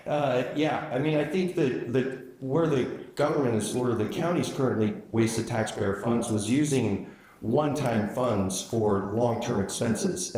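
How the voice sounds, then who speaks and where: رجل يتحدث في قاعة مرتدة الصدى. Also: male voice, medium pitch, a little mellow, reverberant, rough recording. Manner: conversational with fillers, quick when rushed. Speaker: county commission candidate. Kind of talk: debate answers.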